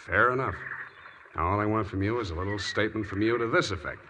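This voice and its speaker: An actor with an American accent playing a sardonic, hard-boiled private detective in a 1940s radio drama. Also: male voice, lowish voice, fast, close to an everyday voice. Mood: sardonic bargaining. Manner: sardonic, wry. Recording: old 1940s radio recording, faint noise.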